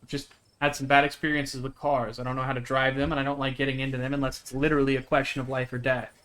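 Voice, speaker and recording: A man's voice: medium pitch, level tone, fast, fairly bright tone, lightly acted. A man voicing an earnest, determined young small-town woman who hunts cryptids in a visual novel. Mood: awkward, apologetic. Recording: game music behind the voice.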